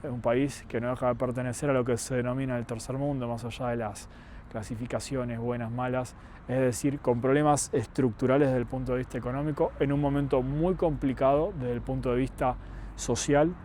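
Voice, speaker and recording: Young male vlogger, Argentine accent, mellow mid-pitched voice, earnest and deliberate, light city noise behind. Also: steady tone. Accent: Argentine Spanish. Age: young adult. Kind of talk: reflective personal monologue to camera.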